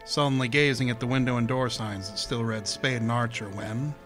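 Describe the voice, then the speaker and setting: A man's voice: medium pitch, steady tone, brisk, natural voice. A man narrating a detective radio drama in a dramatic, storytelling manner. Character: male narrator of a noir detective tale. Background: music under the narration.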